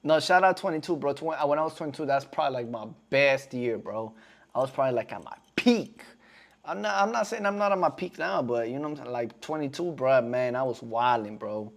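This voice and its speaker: A man's voice: medium pitch, animated, fairly quick, vocal fry. A man in his twenties chatting casually on a live stream in slangy talk, often calling viewers "bro". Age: 20s.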